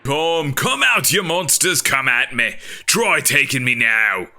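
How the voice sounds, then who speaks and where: A man playing an enraged, paranoid monster hunter in a gothic audio drama, booming with fanatical conviction. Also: lively intonation, takes it slowly, sharp tone, soft background music, exaggerated voice. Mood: raging challenge.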